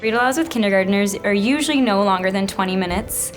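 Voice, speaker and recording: A woman's voice: medium pitch, quick pace, soft background music. A woman kindergarten teacher explaining lessons in an instructional way and talking through a picture book. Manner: instructional, repeats and expands on answers. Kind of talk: explaining teaching and leading an interactive read-aloud.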